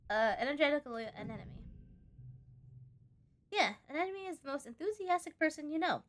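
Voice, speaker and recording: A woman streaming a game live, chatty and expressive, reading the on-screen story aloud and tossing in playful asides. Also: high-pitched, a little fast. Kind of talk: live let's-play commentary, reading game text aloud.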